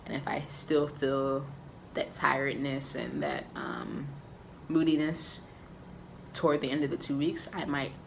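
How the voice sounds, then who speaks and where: A man giving a candid, casual personal update to camera, with a raspy voice and frequent filler ums. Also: medium pitch, animated, a little slow, a little hiss or hum. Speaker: vlogger.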